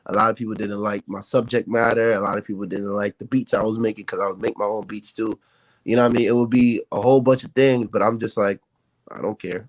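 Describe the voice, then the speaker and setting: A man's voice: lowish voice, no room sound. An American man, a rapper, talking casually as a podcast guest with slangy speech and frequent check-in tags. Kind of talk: casual interview chat.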